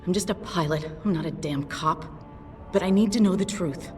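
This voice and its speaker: A tough, stubborn female pilot from a video game, voiced by a woman with a lowish, clipped delivery and plenty of swearing. The voice sits back from the mic in a long reverb, with music underneath. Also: normal speed, a little mellow, recorded from a distance, close to an everyday voice. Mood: earnest. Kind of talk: video game cutscene dialogue.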